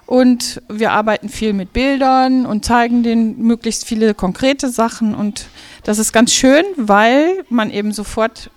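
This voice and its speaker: A woman's voice: a little high, measured pace, dry recording. A middle-aged woman teacher answering interview questions, explaining patiently.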